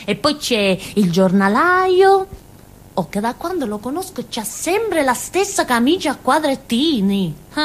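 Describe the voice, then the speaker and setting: An actress playing a naive, simple-minded village woman in a theatre monologue, with a southern Italian accent and a childlike, halting, colloquial way of telling things. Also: mid-range voice. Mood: chatty, simple. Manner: childlike, halting, giggly, muttering little asides. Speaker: stage actress.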